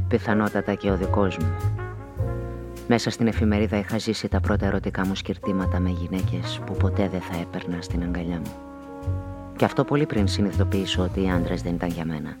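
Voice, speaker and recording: Low-voiced reflective narrator over music male performer. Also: close to an everyday voice. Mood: introspective, sensual. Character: reflective, inquisitive woman narrator who smokes, unmarried. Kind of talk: literary first-person radio drama narration.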